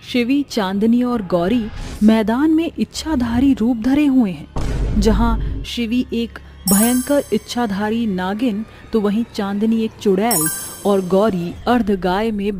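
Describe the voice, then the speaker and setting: A woman's voice: mid-range voice, mellow. A woman narrating a scripted cartoon story in a dramatic storytelling style.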